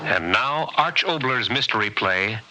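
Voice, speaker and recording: A man's voice: medium pitch, animated, unhurried. A man announcing a mystery anthology in a dramatic 1960s radio style. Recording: very noisy old radio recording.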